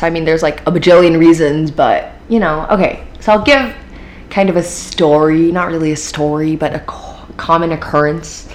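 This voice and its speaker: Chatty low-voiced American teen woman podcaster. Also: a little hiss or hum. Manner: chatty, filler-heavy, upbeat with frequent laughs. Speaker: podcast host. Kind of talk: casual solo podcast talk.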